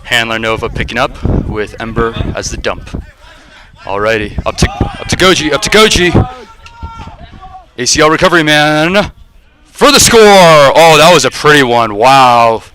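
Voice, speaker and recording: A young man with a deep, slightly groggy voice, commentating a frisbee game on a livestream and getting excited on big plays. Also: normal speed. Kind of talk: play-by-play sports commentary. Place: outdoors at a frisbee field. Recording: noisy outdoor field recording.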